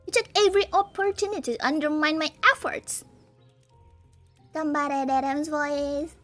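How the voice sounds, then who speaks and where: A woman's voice: high voice, fairly even intonation, moderate pace, fairly bright tone, soft background music. A woman reading the part of a stern, reproachful older stepsister in a visual novel, her tone earnest and lecturing.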